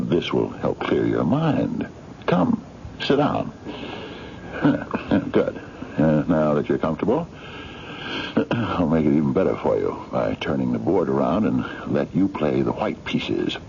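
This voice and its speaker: Mysterious courtly eccentric, radio drama, gravelly and formal, on a dull, noisy old recording. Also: male voice, a bass voice, sing-song, measured pace, darkish tone, exaggerated voice. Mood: coaxing. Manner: formal, hesitant, insistent, courteous. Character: mysterious, eccentric chess-playing gentleman, coaxing and courtly.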